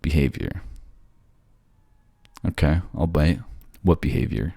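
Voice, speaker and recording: A man's voice: extremely low pitch, takes it slowly, gravelly, warm tone, dry recording, exaggerated voice. A man playing a bored, sardonic ghost who haunts a library, snarky and conversational in an ASMR audio roleplay. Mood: defensive, teasing. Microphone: close, dry microphone.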